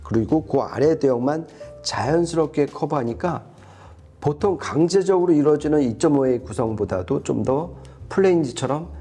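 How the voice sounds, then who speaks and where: A man with a mellow, relaxed voice talks through a product review. He explains things step by step and often rephrases himself. Faint music plays behind him. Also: a little high, normal speed, slightly rough. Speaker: audio reviewer and co-host.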